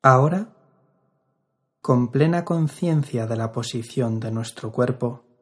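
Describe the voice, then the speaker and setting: A man leading a guided meditation as an instructor, calm and measured, with long pauses between phrases. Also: mid-range voice, slightly rough.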